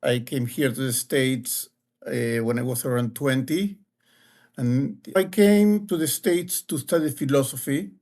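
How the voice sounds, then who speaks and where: A man from Argentina with an Argentine Spanish accent, a guest telling his life story slowly and with pauses in an interview. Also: medium pitch, a little mellow. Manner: slow, measured storytelling with pauses. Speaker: guest, leadership advisor.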